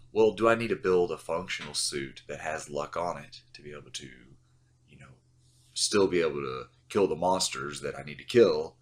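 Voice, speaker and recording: A man with an extremely low voice talks through a game guide in a relaxed voice-over. He sounds stuffy, still getting over the flu, and he rambles with plenty of fillers. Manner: loose, rambling, many uh fillers. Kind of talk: casual explanatory voice-over.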